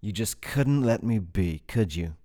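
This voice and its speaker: A man voicing an unhinged balding male writer, talking in a flat, mocking, menacing way. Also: fairly low pitch, measured pace. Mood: bitter.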